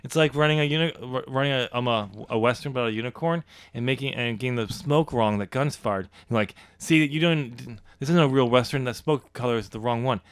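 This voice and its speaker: A man's voice: mid-range voice, brisk, few pauses, clear, resonant tone, no room sound. A man hosting a podcast, chatting casually and laughing often about his own novel. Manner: laughs often, jokey and animated. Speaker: podcast host and author. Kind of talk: casual chat.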